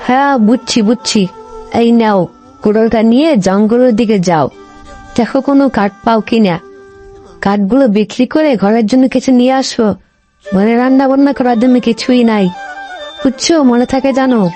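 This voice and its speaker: A woman's voice: on the high side, moderate pace, warm tone, close to an everyday voice. A woman voicing a demanding wife fox in a cartoon moral story, speaking in a homely, instructing manner. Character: bossy, demanding wife fox, longing for better home. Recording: music behind the voice. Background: music.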